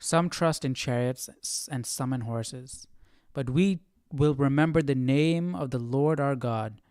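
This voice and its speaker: A man's voice: mid-range voice, a little slow, a little mellow, clear, resonant tone, no room sound. Man musing aloud, dry close sound. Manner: questioning, musing. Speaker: Bible study livestream host. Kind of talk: casual study commentary, thinking aloud and reading verses.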